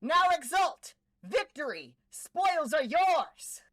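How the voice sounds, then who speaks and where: A woman's voice: high voice, very animated, slow pace, heavy background noise, full character voice. A woman voicing a commanding, theatrical voice in a boy's head for a cartoon fan dub, issuing grand old-fashioned orders. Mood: exultant.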